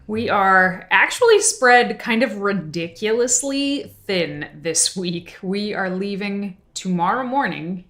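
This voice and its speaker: A woman co-hosting a podcast, talking in a casual, chatty way with quick asides. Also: medium pitch, a bit bright. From Boston area. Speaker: podcast co-host.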